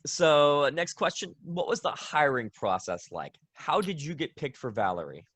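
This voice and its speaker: A man's voice: fairly high pitch, very animated, normal speed, a bit bright, breathy edge, no room sound. A man interviewing a guest in casual fan chat, enthusiastic and rambling as he talks. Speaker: interviewer, video game fan.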